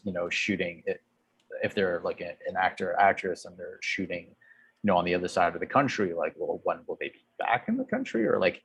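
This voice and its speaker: Guest, man, 30s, deep and a bit husky, loose rambling delivery. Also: rough recording. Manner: rambling, heavy use of "like". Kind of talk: casual chat.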